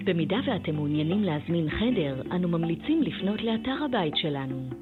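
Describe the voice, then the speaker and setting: Fast, lively woman on a phone menu. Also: lowish voice. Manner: fast, lively announcer delivery. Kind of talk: automated phone greeting. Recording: tinny phone line over music.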